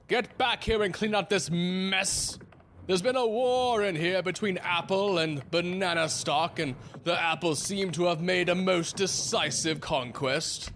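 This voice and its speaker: A pompous first mate from the age of sail , acted by a man, speaking slowly in florid, old-fashioned phrases. He is fussy and stern in a theatrical radio-play way, with steady noise behind him. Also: sits high, exaggerated voice. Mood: outraged. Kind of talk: theatrical audio drama acting.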